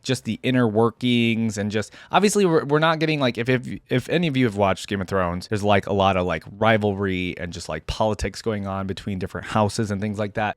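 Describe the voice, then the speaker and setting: Male reaction host, mid-pitched, reading story text with casual asides, dry recording. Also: no echo. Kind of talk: reading lore aloud with casual commentary.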